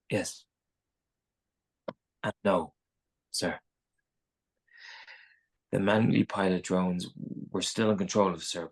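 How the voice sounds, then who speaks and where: Guy acting a brusque navy admiral, raspy gravelly voice, fed up, barks halting orders, script table read. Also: low-pitched. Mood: tense, deferential. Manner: halting, clipped commands with exasperated asides. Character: brusque, exasperated male Navy admiral in command. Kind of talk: live screenplay table read.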